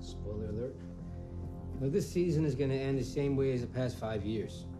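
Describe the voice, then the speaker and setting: A man's voice: mid-range voice, moderate pace, over music, close to an everyday voice. An actor playing an egotistical male star racing driver, cocky and self-centred. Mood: smug.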